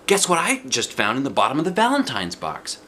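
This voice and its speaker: Man voicing a patient, lively woman schoolteacher. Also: medium pitch, fairly quick, slight background noise, voice pushed up. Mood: pleased. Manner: explaining to a small child. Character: patient, kindly but exasperated woman schoolteacher. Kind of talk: children's storybook read aloud.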